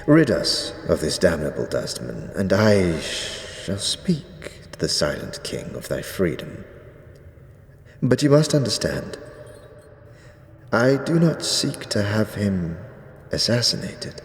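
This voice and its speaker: An actor playing a solemn, stern undead priest, devout and archaic in speech, in a fantasy audio drama. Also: male voice, low voice, sing-song, full character voice. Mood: grave, scheming. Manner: archaic, grave, measured pronouncements. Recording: echoey stone hall reverb, faint noise.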